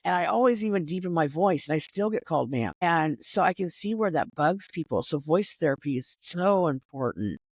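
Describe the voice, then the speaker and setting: A middle-aged man with a fairly high voice, chatting casually as a podcast host and often restarting his sentences. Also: expressive, brisk, hardly any pauses, no echo. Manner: chatty, frequent restarts and filler words. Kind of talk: casual conversation.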